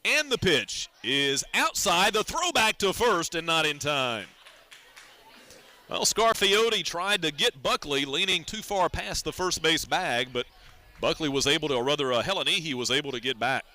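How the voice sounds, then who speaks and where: An American man calling a baseball game as a radio play-by-play announcer, in a measured, descriptive way. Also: on the high side, animated, sharp tone. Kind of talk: live sports radio commentary.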